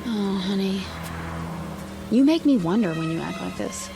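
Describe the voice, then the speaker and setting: A woman's voice: mid-range voice, expressive, moderate pace, vocal fry. An actress playing an affectionate, practical young honeymooning wife in a radio drama, coaxing and reasoning with her husband. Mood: gently probing. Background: music under the voice.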